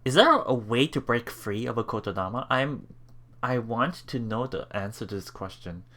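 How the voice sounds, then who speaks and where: A man hosting a let's play, reading game text aloud with casual, halting reactions and repeated words. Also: mid-range voice, animated, pushed and bright. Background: game music.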